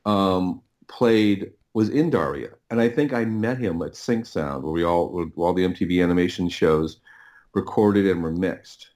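Low-voiced, halting middle-aged man telling stories. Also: darkish tone. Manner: halting, rambling, repeats words as he thinks. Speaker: podcast guest, audio producer. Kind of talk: casual interview storytelling.

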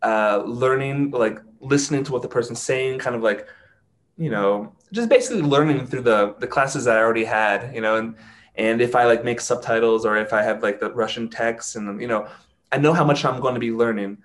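A man's voice: mid-range voice, fairly quick. Guy just chatting, mid voice, lots of you know and like. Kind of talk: casual chat.

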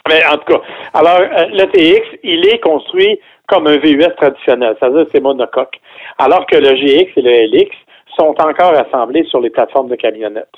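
A man's voice: fairly high pitch, sing-song, a little fast. Chatty Québécois man on a phone line. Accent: Québécois French. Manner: chatty, explains with a joking aside. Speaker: car reviewer guest. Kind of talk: casual radio chat.

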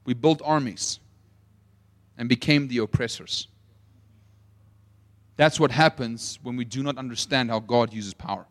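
A young South African man preaching a sermon, telling a story with swings between loud emphasis and quiet asides. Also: mid-range voice, a little slow, long pauses. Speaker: pastor.

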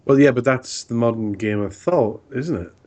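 A man's voice: medium pitch, moderate pace, darkish tone. A man co-hosting a casual gaming podcast, full of dry, sweary banter. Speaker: podcast co-host.

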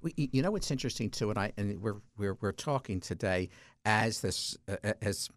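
A man's voice: on the low side, expressive, a little fast, no echo, clean studio sound. A man in his sixties, a deacon guest on a radio talk segment, chatty and self-mocking, telling stories with frequent stumbles and restarts. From South Jersey, US. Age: 60s.

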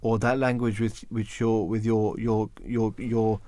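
A man's voice: lowish voice, level tone, a little slow, dark tone, no echo. A man whose mother tongue is Urdu hosts a casual radio discussion, hesitating and restarting often and ending points with tag questions. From parents from Pakistan. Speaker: radio show host.

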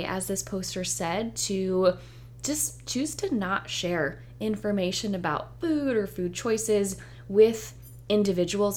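A woman hosting her own podcast as a dietitian, a little hoarse and close to losing her voice, talking in a chatty, upbeat and reassuring way. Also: medium pitch. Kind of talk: solo podcast intro monologue.

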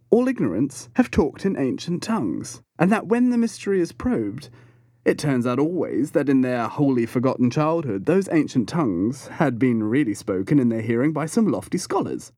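A man's voice: mid-range voice, sing-song, moderate pace, dark tone, no echo, studio quality. A man voicing a solemn ship's officer in an audiobook, murmuring reflectively. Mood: solemn wonder.

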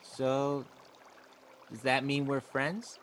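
A man's voice: medium pitch, steady tone, drawn-out words, fairly bright tone, slight background noise. A man playing an odd, blunt young boy in a comedy radio play, talking earnestly and blurting things out.

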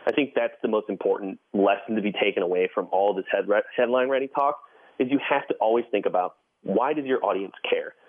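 A man's voice: on the low side, fairly quick, dry recording. A man speaking as a podcast guest and editor in a conversational interview, over a thin phone-like line.

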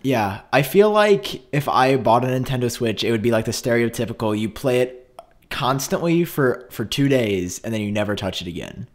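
A young man hosting a casual podcast, playful and quick to laugh. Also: mid-range voice, sing-song. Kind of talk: casual chat.

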